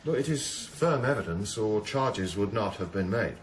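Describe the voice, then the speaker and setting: An actor playing a guarded senior police detective, curt, stubborn and official in a radio drama. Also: male voice, fairly low pitch, animated, normal speed, reverberant, natural voice.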